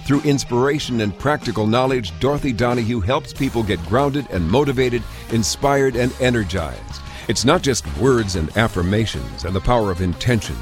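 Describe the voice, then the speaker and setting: Measured male ad announcer over soft music. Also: mid-range voice, animated. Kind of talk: scripted radio ad read.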